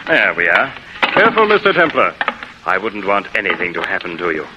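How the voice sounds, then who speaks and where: A man's voice: low-pitched, expressive, fairly quick. An actor playing a greedy, mock-courteous male killer with silky menace, in 1940s radio drama style. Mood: polite menace. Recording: hissy vintage radio recording.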